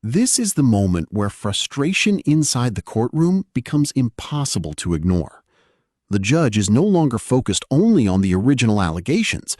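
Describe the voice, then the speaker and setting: A man's voice: medium pitch, sing-song, moderate pace, a little mellow, no echo. A man reading scripted dramatic commentary as a narrator, recorded as a close voice-over. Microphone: close, dry voice-over.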